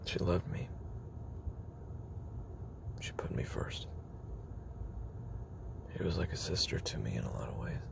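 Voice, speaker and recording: A man playing a haunted, weary former child assassin in an audio roleplay, speaking a halting, brooding confessional monologue in a gravelly voice that often sinks toward a whisper. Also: a bass voice, expressive, heavily acted. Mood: brooding, wistful. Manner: halting, brooding, with trailing pauses. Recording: noisy homemade recording with background ambience.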